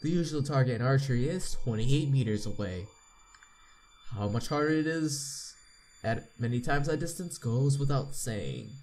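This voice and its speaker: A man's voice: mid-range voice, lively intonation, a little mellow, a little hiss or hum. A man hosting a game playthrough, reading the text aloud with asides and often stumbling and repeating words. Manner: halting reader, repeats misread words. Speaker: playthrough host.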